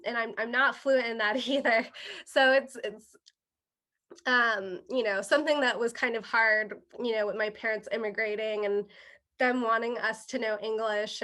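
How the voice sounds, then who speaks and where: A woman's voice: on the high side, pushed and bright. A young woman, a guest chef, talking casually and patiently about her dishes, with many small fillers and restarts. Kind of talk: casual interview, explaining dishes.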